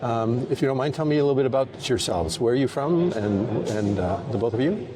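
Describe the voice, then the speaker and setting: A man's voice: mid-range voice, lively intonation, fairly quick. A man conducting a casual interview as the interviewer. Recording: crowd chatter in the background.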